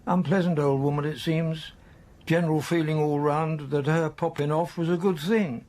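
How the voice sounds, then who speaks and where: An actor playing a brisk, wry British colonel in a radio drama, with a British accent and clipped, telegraphic sentences. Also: male voice, a little high, steady tone, a little mellow, close to an everyday voice. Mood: brisk, suspicious.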